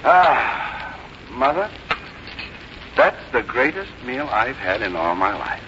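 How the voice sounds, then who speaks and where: Devoted grown farm son, American, folksy and boyish, lively swooping delivery, muffled old radio-play recording. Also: male voice, medium pitch, slow pace, a little hiss or hum, heavily acted. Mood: hearty, boyish. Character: easygoing, affectionate grown son of a farm woman. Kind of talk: 1950s American radio drama acting.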